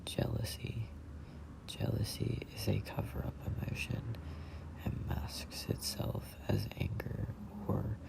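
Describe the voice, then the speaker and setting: A person in their twenties reading a self-help book aloud in a breathy ASMR whisper, deliberately and with pauses between short phrases. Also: low-pitched, poor audio. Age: 20s. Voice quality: whispery, breathy, gravelly creak. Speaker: amateur book reader.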